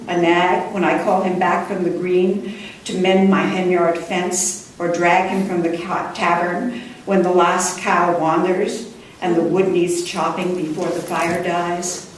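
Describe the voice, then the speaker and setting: A woman poet reading her own work live at a book launch, with a measured, deliberate delivery and careful pauses. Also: fairly low pitch, flat delivery. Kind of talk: live poetry reading with brief introductions. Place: indoor room before a live audience. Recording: distant mic, echoey room, faint noise.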